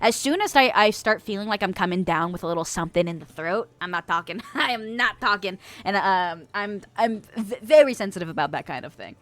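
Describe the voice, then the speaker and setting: A woman's voice: mid-range voice, expressive, brisk, hardly any pauses, a bit bright. A young woman streamer chatting casually with her chat, bubbly and giggly, reading out viewer messages.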